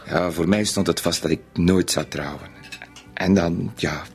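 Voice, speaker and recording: Timid, gravelly, deep-voiced Flemish clerk. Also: male voice, steady tone, a little slow, soft background music. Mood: earnest, confiding. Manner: hesitant, polite, self-effacing. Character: timid, naive, modest married bank bookkeeper. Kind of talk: radio drama acting.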